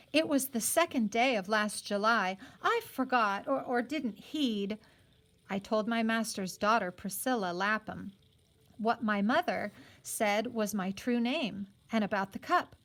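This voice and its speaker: A woman's voice: medium pitch, lively intonation, slow, a bit bright. Woman reading as an earnest, plain-spoken apprentice boy. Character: earnest teenage apprentice boy giving testimony.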